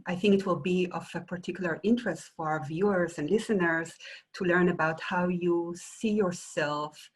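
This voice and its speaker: A woman with a low, husky voice hosts the interview as a professor. She asks long, carefully built questions, pausing to search for the right words. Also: low-quality recording. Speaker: host, professor.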